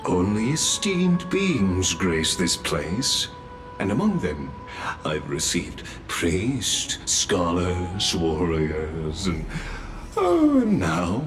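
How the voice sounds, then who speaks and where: An actor voicing a courtly, scheming male noble host in a game cutscene, unctuous and flattering, chuckling often. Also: sits low, heavily acted. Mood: grandiose. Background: game score music.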